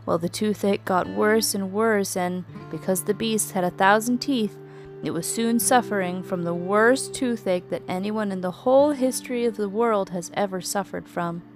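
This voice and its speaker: A woman reads a children's story with expressive, dramatic delivery. Her pitch sits in a middle range, and music plays faintly behind her. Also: normal speed. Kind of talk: scripted children's story narration.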